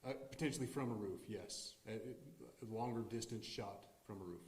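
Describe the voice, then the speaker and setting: A man, a law enforcement official answering press questions in a cautious, halting way. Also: on the low side, darkish tone. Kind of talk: press briefing, unscripted answers. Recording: echoey room with faint hiss.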